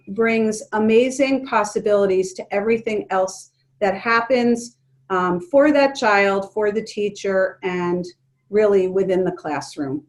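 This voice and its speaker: A woman leading an online workshop for educators, speaking calmly and gently with frequent ums. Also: medium pitch. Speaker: presenter leading an educators' forum. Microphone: video call.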